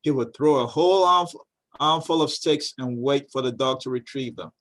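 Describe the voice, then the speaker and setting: A man reads a storybook aloud as a storyteller. His delivery is expressive and folksy, and he slips easily into casual, chatty commentary. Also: medium pitch, moderate pace. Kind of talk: storybook reading with casual asides.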